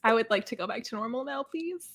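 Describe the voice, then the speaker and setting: A woman's voice: a little high, animated, fast talker, fairly bright tone. A woman in a casual book chat, sardonic and exasperated, often acting out imagined lines in her own voice. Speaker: book discussion co-host.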